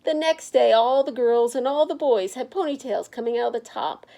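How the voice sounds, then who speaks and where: Female children's storybook reader, somewhat high voice, expressive and emphatic narration. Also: normal speed, gravelly.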